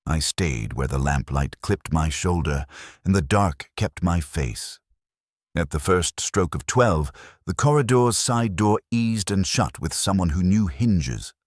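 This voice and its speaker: A man reading a first-person detective story as an audiobook narrator, in a measured hardboiled style. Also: sits low, no echo, clean studio sound.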